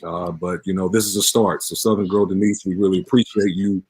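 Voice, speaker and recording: Guy in his 40s, pastor type, low voice, talks earnest and emphatic like preaching in an interview, dry sound. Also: steady tone, fairly quick, darkish tone, breathy edge, no echo, rough recording.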